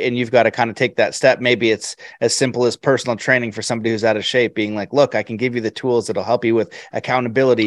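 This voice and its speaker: A man's voice: mid-range voice, no echo, clean studio sound. A man hosting a podcast, affirming his guest and asking long, rambling questions. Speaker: podcast host. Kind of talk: casual podcast interview.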